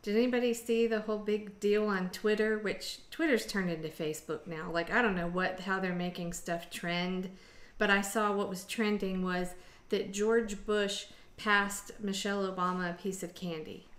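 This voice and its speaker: A woman's voice: mid-range voice, steady tone, normal speed, fairly bright tone. A middle-aged woman hosting a casual online group discussion, talking in a rambling, scattered way. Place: indoor room on an online video call. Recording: roomy echo, background noise.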